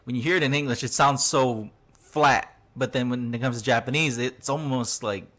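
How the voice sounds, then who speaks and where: A man's voice: mid-range voice, moderate pace, bright, forceful tone. A man hosting a podcast in casual chat, talking loosely with lots of fillers and frequent laughs. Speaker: podcast host.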